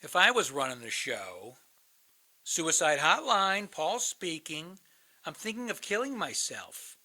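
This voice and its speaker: Guy acting bitter sarcastic man in a radio play, really hard bright voice, bit high, blunt. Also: very animated, a little slow, full character voice. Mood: scornful, bitter. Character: bitter, sardonic man, crisis counselor posing as suicidal caller.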